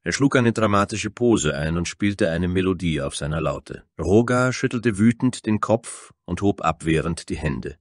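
A man's voice: sits low, lively intonation, moderate pace, hardly any pauses, no room sound, clean studio sound. A man narrating a scripted fantasy story in a dramatic storytelling style.

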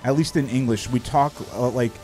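A man's voice: mid-range voice, a little fast, music in the background. Rambling male streamer, easygoing chat. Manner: rambling, many fillers and restarts. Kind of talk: casual chat while gaming. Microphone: studio mic in front of face.

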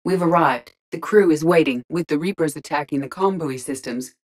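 Female ship AI, low voice, composed, precise, formal, deadpan, video game dialogue. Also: normal speed. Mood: composed, matter-of-fact. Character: calm, courteous female artificial intelligence aboard a starship.

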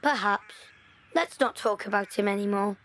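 A woman playing a wartime village boy who turns quiet and reluctant when his father comes up. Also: mid-range voice, moderate pace, natural voice. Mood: guarded. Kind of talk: audio play acting.

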